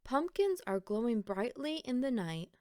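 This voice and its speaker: A woman reading a children's picture book aloud in an expressive storytelling style, phrase by phrase with emphatic pauses. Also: a little high, no room sound, studio quality.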